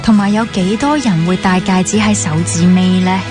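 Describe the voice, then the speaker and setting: A woman's voice: mid-range voice, moderate pace, close to an everyday voice. An actress with a Hong Kong Cantonese accent playing a carefree, chatty young woman bantering with close friends in a radio drama. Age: young adult. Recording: music playing behind the dialogue.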